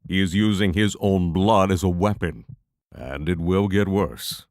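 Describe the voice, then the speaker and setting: A lowish male voice, dry and close, for a wry young mage, played by a man. He is cautious and measured, a wordy spellcaster in a fantasy audio drama. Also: a little mellow, no echo. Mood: resigned.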